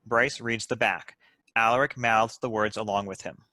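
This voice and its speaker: A man's voice: on the low side, steady tone, normal speed, sharp tone, dry recording. A man reading stage directions aloud as scripted narration for a comedy script.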